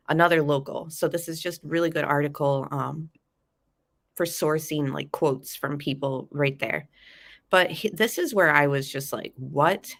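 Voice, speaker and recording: Stuffy, low-voiced woman chatting with viewers. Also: a bit bright. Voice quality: nasal. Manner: chatty, addresses viewers as guys, fillers like um. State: stuffy nose from a cold. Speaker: true crime vlogger. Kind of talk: casual live chat commentary.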